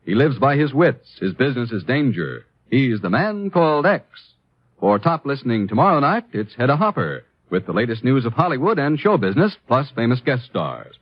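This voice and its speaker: Husky American vintage radio announcer, male. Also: mid-range voice, very animated, moderate pace, no room sound. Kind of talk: scripted 1950s radio promo announcing. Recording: dry, muffled old radio broadcast.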